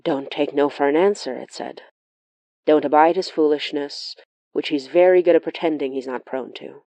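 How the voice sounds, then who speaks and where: A woman's voice: low voice, normal speed, mellow, no room sound, lo-fi sound. A woman playing a wry, watchful sorcerer who narrates a horror audio diary in a confiding, matter-of-fact way. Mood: quoting firmly.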